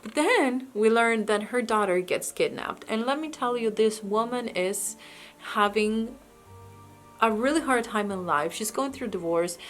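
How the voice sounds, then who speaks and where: A woman's voice: a little high, unhurried, faint music behind. A woman who speaks English as a second language, chatting casually about books she bought, with frequent restarts and hesitations. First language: not English. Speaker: book vlogger. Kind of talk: casual book haul chat.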